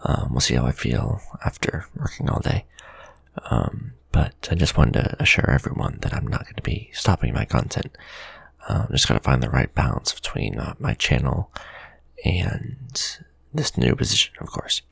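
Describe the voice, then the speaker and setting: Very deep, husky man, halting. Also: big pitch swings, no echo. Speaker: ASMR video creator. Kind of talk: casual channel update.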